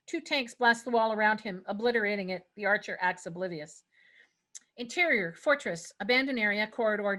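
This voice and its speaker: A woman's voice: medium pitch, a bit bright. A woman narrating stage directions at a scripted table read, occasionally stumbling over words.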